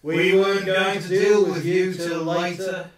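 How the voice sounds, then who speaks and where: A man's voice: high voice, level tone, unhurried, recorded from a distance. A man playing a cocky, menacing burglar who sneers and taunts in a steampunk radio play. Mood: taunting. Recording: echoey room, off mic.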